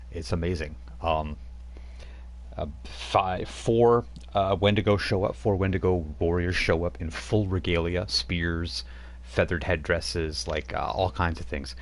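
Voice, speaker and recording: Deep-voiced man running a tabletop game. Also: unhurried. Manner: rambling, hesitant, many fillers. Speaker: game master. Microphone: home microphone on a live stream.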